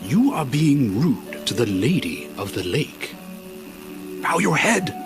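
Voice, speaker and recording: Pompous, animated talking sword mentor. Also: male voice, mid-range voice, takes it slowly, over music. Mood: scolding. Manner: pompous, formal, archaic phrasing. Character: haughty, scolding male talking sacred sword, knightly mentor. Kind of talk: video game cutscene acting.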